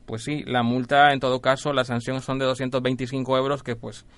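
A man's voice: medium pitch, flat delivery, fairly quick, a bit bright, no echo, natural voice. A man playing a polite, insistent hotel administrator on a prank call, officious and apologetic as he repeats himself. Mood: politely insistent. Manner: officious, apologetic, repetitive, hesitant phrasing. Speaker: radio prank caller. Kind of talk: prank phone call, improvised role-play.

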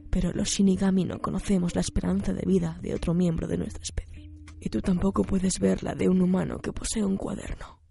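A woman voicing a solemn, deadpan death spirit in a radio drama. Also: on the low side, brisk, creaky, faint music behind. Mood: solemn, detached. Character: solemn death spirit guardian explaining its rules.

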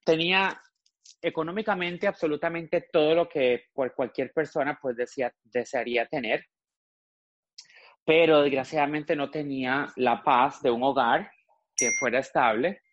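A man's voice: on the high side, moderate pace, dry recording, lo-fi sound. A man in his forties from Costa Rica, a guest frankly telling his personal story, often trailing off and restarting. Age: 40s.

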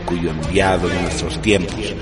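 Male narrator, lower register, solemn and epic radio drama delivery, clear music bed underneath. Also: fairly even intonation, measured pace. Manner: grandiose, dramatic emphasis. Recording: music behind the voice.

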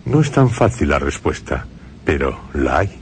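A man's voice: rumbling low, sing-song, moderate pace, a little hiss or hum. A man with a Castilian Spanish accent presenting dramatized radio narration in a theatrical, questioning manner.

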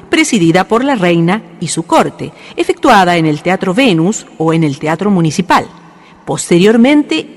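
A woman reading scripted historical narration for a radio drama. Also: lowish voice. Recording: long reverb, music behind.

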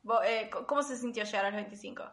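A woman's voice: fairly high pitch, fast, reverberant. A woman in her twenties with a Rioplatense Argentine accent, chatting casually as a podcast co-host, laughing easily and filling her talk with fillers. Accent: Rioplatense Spanish. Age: 20s. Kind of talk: casual chat between friends.

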